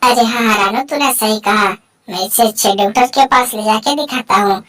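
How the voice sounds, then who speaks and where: A woman's voice: fairly high pitch, normal speed, sharp tone. A woman voicing a fretful, scolding crow wife in a cartoon story, complaining and repeating herself. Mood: impatient. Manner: repetitive, complaining. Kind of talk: Hindi animated bird story dialogue.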